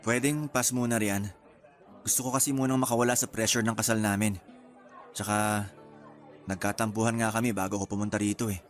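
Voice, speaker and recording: A man's voice: lowish voice, little pitch movement, fairly quick, long pauses, natural voice. A man with a Filipino accent playing a teasing, smitten fiancé in his thirties in a radio drama. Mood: confiding. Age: 30s. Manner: teasing, sweet-talking. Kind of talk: conversational radio drama acting. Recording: music bed under the voice.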